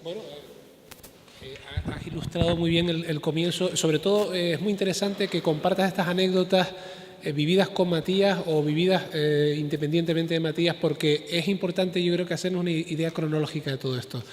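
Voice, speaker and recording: High-pitched man moderating in a noisy, echoey hall. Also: steady tone, a little fast. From Tenerife, Canary Islands. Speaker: moderator, animator. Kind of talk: moderating a panel. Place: school event hall. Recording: echoey hall, clear background noise.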